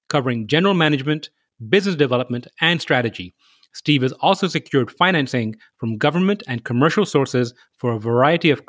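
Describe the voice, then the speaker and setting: A man's voice: medium pitch, fairly bright tone. A man from Southeast London hosting a podcast, reading a guest introduction and chatting in a friendly, conversational way. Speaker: podcast host. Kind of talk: podcast interview.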